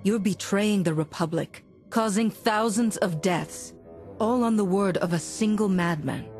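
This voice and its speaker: Woman doing a calm persuasive jedi hero for a video game, earnest, mid pitch, music under it. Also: measured pace, natural voice. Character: composed, persuasive, compassionate Jedi heroine. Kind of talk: video game cutscene acting.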